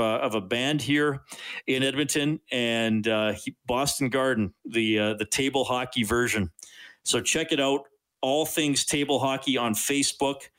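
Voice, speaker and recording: A man's voice: mid-range voice, fairly bright tone. A man hosting a sports radio show, friendly and chatty with frequent restarts.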